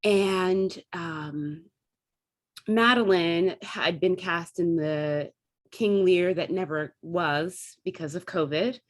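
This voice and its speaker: A woman's voice: fairly low pitch, slow. A woman chatting casually as an interview guest, explaining things in a talkative way and thinking aloud as she goes. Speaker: guest, theatre artist and classical actor.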